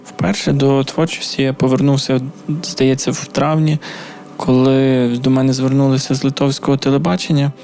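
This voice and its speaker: A man from Ukraine, a musician answering interview questions in flat, unhurried speech with small hesitations. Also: mid-range voice, gravelly, faint music behind.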